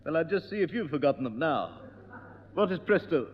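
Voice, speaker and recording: Pompous piano teacher, fussy and stern, high-pitched, dull echoey vintage radio. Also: male voice, big pitch swings, a little fast. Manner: stern, pompous, easily exasperated. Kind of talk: 1960s radio sitcom acting. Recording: dull old radio broadcast with long reverb.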